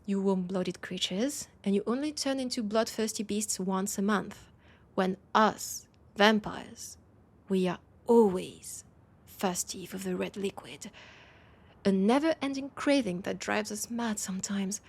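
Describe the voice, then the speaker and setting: A woman with a French accent voicing a weary, wry female vampire in an intimate audio roleplay, speaking in a breathy, hesitant, confiding way. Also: medium pitch, a little slow. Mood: envious, intense. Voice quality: breathy, husky.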